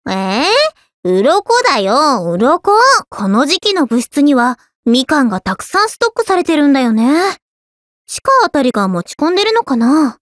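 A woman voicing an easygoing, affectionate teenage schoolgirl in an anime game, with a caring, gently teasing manner. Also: high-pitched, no room sound, clean studio sound. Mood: teasing, playful. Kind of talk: scripted anime game voice lines.

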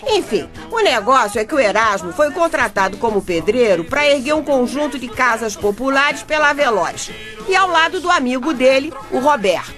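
A woman's voice: high voice, very animated, normal speed, a bit bright, faint music behind. A woman playing an exasperated, sarcastic Brazilian wife who narrates a radio drama in a chatty, mocking way. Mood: wry.

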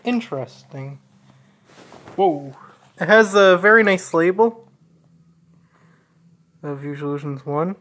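A woman narrating a casual unboxing in a halting, deliberate way. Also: fairly low pitch, fairly bright tone. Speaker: unboxing video maker.

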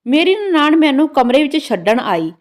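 A woman's voice: high-pitched, sing-song, normal speed. A woman narrating a scripted first-person story in a dramatic storytelling manner.

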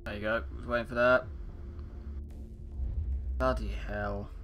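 A man's voice: on the low side, flat delivery, a little slow, a bit bright, soft background music. A young man chatting casually as co-commentator on an online racing stream. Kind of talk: casual chat.

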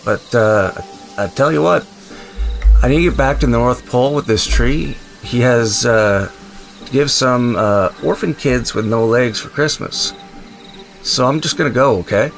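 A man's voice: mid-range voice, moderate pace, vocal fry, lightly acted. Man playing a wry wasteland survivor, music behind. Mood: nervous, bluffing. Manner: conversational, hesitant, dryly humorous. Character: wry, weary male wasteland survivor, sentimental and quick-witted. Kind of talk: audio drama acting with narration.

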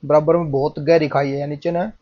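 A man's voice: a little high, level tone, a little fast, lo-fi sound. A man in his thirties chatting casually to viewers as he vlogs a road trip, filming on a handheld phone outdoors and from a car. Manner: chatty, keeps calling viewers "brother". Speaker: travel vlogger. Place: outdoors on mountain roads and in a car. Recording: noisy outdoor phone recording.

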